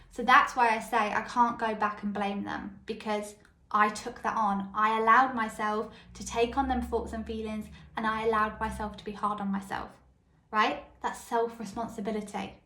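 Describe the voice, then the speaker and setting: A woman with a high, light voice hosting a solo self-help podcast. She tells personal stories and keeps checking in with the listener. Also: bright, forceful tone. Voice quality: high, light voice that others called squeaky. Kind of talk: solo motivational podcast monologue. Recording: echoey room.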